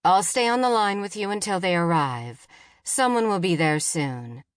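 A woman voicing a calm, steady emergency dispatcher in an audiobook, flat and procedural. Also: fairly low pitch, moderate pace, no room sound, clean studio sound, close to an everyday voice. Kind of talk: audiobook character dialogue.

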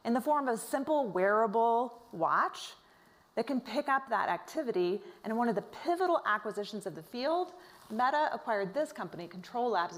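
Female expert presenter, fairly high voice, articulate, explanatory, measured emphasis.

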